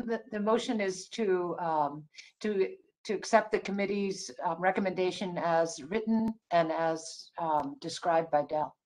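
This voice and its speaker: A woman's voice: fairly low pitch, unhurried, darkish tone, low-quality recording. A woman making a motion at a public board meeting over a video call, restarting her phrases.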